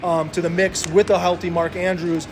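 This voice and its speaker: A man's voice: sits high, little pitch movement, moderate pace, background noise. A man co-hosting a sports betting podcast, talking through games casually with frequent hesitations.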